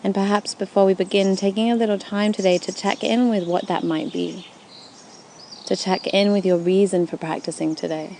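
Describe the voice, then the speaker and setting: A woman guiding a yoga nidra meditation in a soothing, unhurried way with gentle, deliberate phrasing, recorded outdoors. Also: mid-range voice, steady tone, creaky, slight background noise. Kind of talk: guided meditation. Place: outdoors in a forest at dusk. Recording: outdoor recording with faint birdsong. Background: birdsong and forest ambience.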